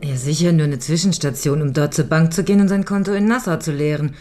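Actress as low-voiced, composed, savvy woman. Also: fast, mellow, a little hiss or hum, lightly acted. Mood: knowing. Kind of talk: German radio crime drama acting.

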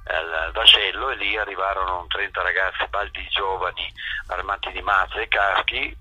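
A man with a low voice that is very sharp and forceful, with a raspy edge, and a dry, close sound. He is a theatre director interviewed on radio, telling stories in measured phrases with hesitant pauses. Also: no echo, low-quality recording. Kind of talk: radio interview, recounting past events.

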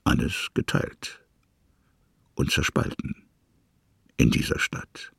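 A man reading literary scripted narration in a measured way, with a gravelly, husky voice and pauses between short phrases. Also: fairly bright tone, no room sound, studio quality.

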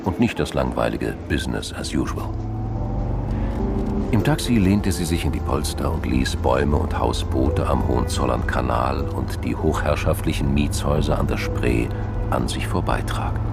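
Male crime drama narrator, extremely low and creaky, scripted delivery over music. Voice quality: gravelly.

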